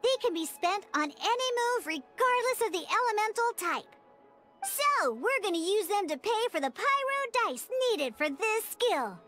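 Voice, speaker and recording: A woman playing a small, bubbly, childlike girl companion in a video game, with a squeaky voice and a perky, encouraging way of explaining. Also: sing-song, a little slow, fairly bright tone, soft background music, full character voice. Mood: cheerful, instructive. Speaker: game tutorial guide. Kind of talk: animated video game character tutorial dialogue.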